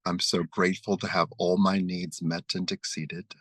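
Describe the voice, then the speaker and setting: A man leading a guided meditation and prayer, speaking slowly and soothingly as he leads spoken affirmations. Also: low voice, flat delivery, fairly bright tone, no echo. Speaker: spiritual meditation leader.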